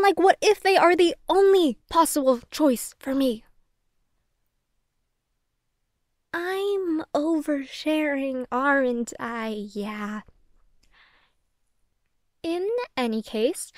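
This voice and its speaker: A woman's voice: a little high, dry recording, studio quality, close to an everyday voice. A young adult woman playing a sardonic, flustered, self-deprecating young woman who rambles and swears casually in an audio roleplay monologue. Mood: self-deprecating, confessional.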